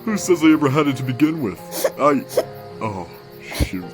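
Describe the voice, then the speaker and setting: A man's voice: mid-range voice, big pitch swings, normal speed, close to an everyday voice. A man voicing a laid-back, weary skeleton monster with a dry, slangy, protective manner in a comic dub, over music. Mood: weary, gentle. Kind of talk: amateur comic dub acting.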